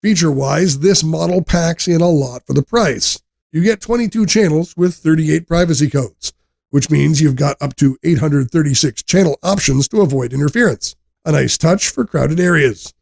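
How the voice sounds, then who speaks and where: A man reading a scripted product review narration in a measured, explanatory way. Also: on the high side, gravelly, a little mellow.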